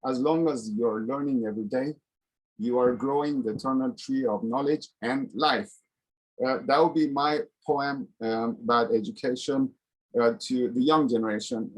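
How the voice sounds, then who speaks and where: A middle-aged man from Sweden, an engineer giving an online colloquium presentation over a video call, speaking in a halting, earnest way with many pauses. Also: medium pitch, low-quality recording.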